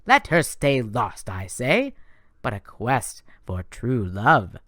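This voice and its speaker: A man voicing a vain, gushing goddess of love, high and theatrical with a dry, close sound. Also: huge pitch swings, takes it slowly, a bit bright, no room sound, full character voice.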